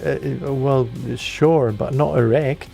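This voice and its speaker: A man from Sweden co-hosting a laid-back radio chat, dry and teasing in his replies. His voice is mellow and warm at a mid pitch, with faint music underneath. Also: slow, gravelly. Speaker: radio co-host. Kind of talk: casual chat.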